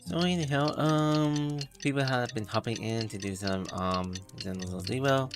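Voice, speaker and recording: A woman livestreaming a game with casual, halting chat. Her voice is very deep with a creaky fry, over loud game music. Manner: halting, hesitant.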